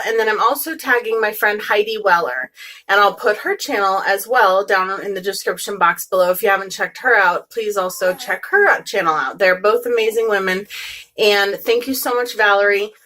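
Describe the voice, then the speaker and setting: Bright-voiced young woman chatting casually. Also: mid-range voice, moderate pace. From United States. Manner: chatty, rambling, many ums and restarts. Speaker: beauty vlogger. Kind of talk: casual direct-to-camera vlog chat.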